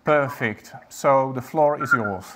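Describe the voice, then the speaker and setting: A man's voice: mid-range voice, slow pace, noisy background. A man chairing a conference session over a video call, polite and formal as he introduces the speaker.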